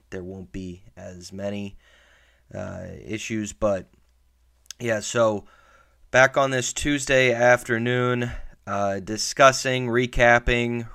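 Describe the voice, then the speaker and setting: Slow, low-voiced man hosting a podcast. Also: fairly bright tone, clean tone. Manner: rambling, frustrated recap. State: early cold, slightly hoarse. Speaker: podcast host. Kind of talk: solo sports podcast commentary.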